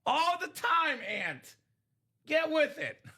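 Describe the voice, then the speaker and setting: A young man from Bulgaria hosting a live sports card break stream, upbeat and joking, shouting out buyers by name. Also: very high-pitched, sing-song, slow pace. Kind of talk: casual live-stream sales chat.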